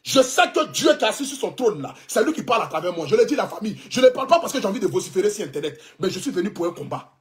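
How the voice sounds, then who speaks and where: A man's voice: high-pitched, sing-song, rapid-fire. Fervent Ivorian man preaching on livestream. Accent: Ivorian French. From Ivory Coast. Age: 30s. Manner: preachy, fervent, repetitive, defiant. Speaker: social media blogger. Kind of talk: emotional livestream address. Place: indoors, clothing rack behind.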